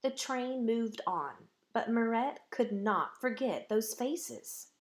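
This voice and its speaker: Slow, expressive woman reading a storybook. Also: on the high side. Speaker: storybook reader. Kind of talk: read-aloud narration of a children's picture book.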